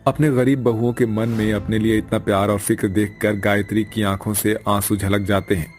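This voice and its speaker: A man's voice: lowish voice, level tone, normal speed. A man narrating a dramatic cartoon story from a script. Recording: music behind the voice.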